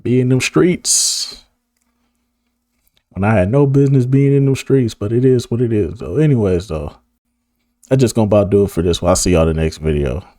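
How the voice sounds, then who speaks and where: A hoarse man of about thirty, talking casually to camera in a rambling, slang-heavy way and laughing often. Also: lowish voice, normal speed, dark tone, no room sound, clean studio sound. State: hoarse, losing his voice. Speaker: video creator. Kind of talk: casual reminiscing monologue to camera.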